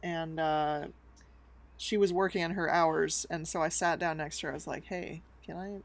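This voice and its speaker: A woman's voice: deep voice, steady tone, moderate pace, creaky. A woman co-hosting a casual chat who tells stories in a laid-back, slangy way and laughs often. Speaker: podcast co-host.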